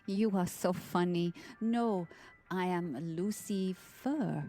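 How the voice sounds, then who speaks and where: A woman acts out a female devil from a horror audio drama, amused and condescending. She speaks slowly and deliberately in a lowish, mellow voice, as if instructing someone dim. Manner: deliberate, patient, patronising delivery with pauses.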